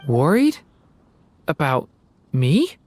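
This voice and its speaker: A woman voicing a gentle, earnest young boy in a video game, speaking softly and hesitantly. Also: low-pitched, very animated, extremely slow, full character voice. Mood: touched, apologetic. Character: gentle, unsure young boy with dangerous hidden power.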